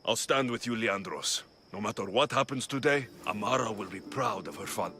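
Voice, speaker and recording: A man's voice: lowish voice, fairly quick, lightly acted. A man playing a wry, steadfast mercenary hero in a video game, with a dry, teasing, resolute manner. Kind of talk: video game cutscene acting. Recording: game music behind the voice.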